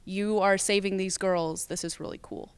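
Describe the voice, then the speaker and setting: A woman's voice: medium pitch, steady tone, moderate pace, clean tone, no echo. A teenage female student actor with an American accent, giving casual, upbeat interview answers with enthusiasm. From United States. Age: teens.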